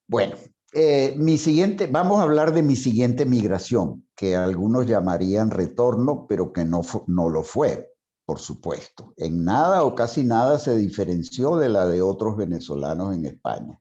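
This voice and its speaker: An older man from Spain, measured as he reads out a prepared personal account, with a few fillers. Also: on the low side, animated, mellow. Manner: measured, partly read aloud, with fillers. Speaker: speaker at a psychoanalysis talk. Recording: dull lo-fi sound.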